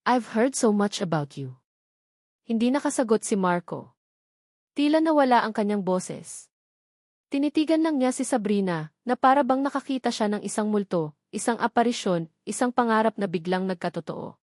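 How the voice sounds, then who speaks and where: A woman's voice: fairly high pitch, lively intonation, moderate pace, a little mellow, no room sound, studio quality. A woman with a Filipino accent narrating a scripted romance story in a dramatic style.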